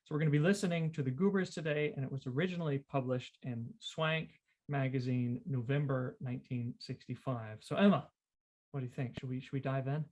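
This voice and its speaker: A man's voice: mid-range voice, steady tone, fairly quick, darkish tone, lo-fi sound. A man hosting a casual, chatty podcast, enthusiastic and inclined to repeat his phrases. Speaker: podcast host.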